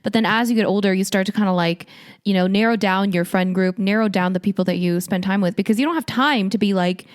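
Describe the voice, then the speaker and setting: A woman's voice: medium pitch, fast talker. A woman in her thirties co-hosting a podcast, chatting informally with lots of "like" in her speech. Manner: informal, frequent "like", giggly. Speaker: podcast co-host. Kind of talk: casual podcast chat.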